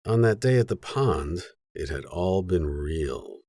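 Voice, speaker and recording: Gravelly, husky man narrating a story. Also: low-pitched, animated, takes it slowly, darkish tone, no echo, studio quality. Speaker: audiobook narrator. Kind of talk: scripted literary narration.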